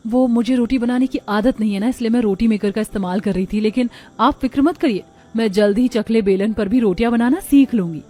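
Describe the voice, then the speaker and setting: High-voiced young cartoon bride, acted by a woman. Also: a little fast, hardly any pauses, dark tone, natural voice. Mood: eager. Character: young lazy, breezy daughter turned anxious new bride.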